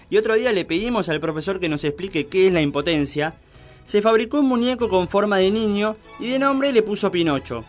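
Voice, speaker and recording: A man narrating a comic children's story in a theatrical, drawn-out storytelling manner. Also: high-pitched.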